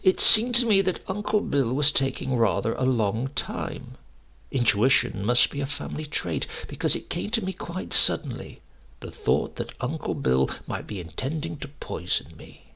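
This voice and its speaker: A man with a gravelly, husky voice narrating a humorous short story in a measured, theatrical, scripted style. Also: medium pitch, big pitch swings, bright, forceful tone.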